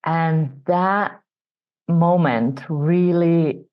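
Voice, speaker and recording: A woman with a Finnish accent speaks in a low, deep register, taking her time over each phrase. She tells her story softly and reflectively, as a guest on an interview show. Her remote audio sounds muffled and dull. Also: fairly even intonation. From Finland. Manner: soft, reflective and unhurried.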